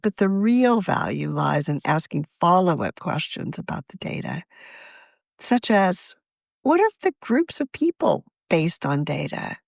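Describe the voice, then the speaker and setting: A woman reading a scripted magazine article aloud as its editor, in a measured, articulate way. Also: mid-range voice, no echo.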